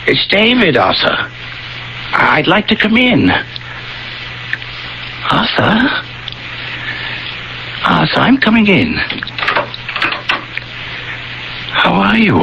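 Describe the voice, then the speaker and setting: Earnest friend, wildly swooping, gravelly. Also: male voice, fairly high pitch, background noise, heavily acted. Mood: gentle, coaxing. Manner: earnest, emotional, pleading. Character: devoted, anxious old friend narrating his story. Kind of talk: old-fashioned radio drama acting and narration. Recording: dull radio recording with music.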